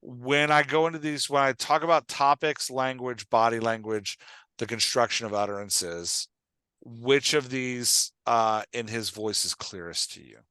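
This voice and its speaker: A man's voice: medium pitch, fairly even intonation, a little slow, sharp tone, clear, resonant tone, no room sound. A man talking conversationally and emphatically as a host and coach, giving advice with acted-out examples. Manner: emphatic, acts out example phrases.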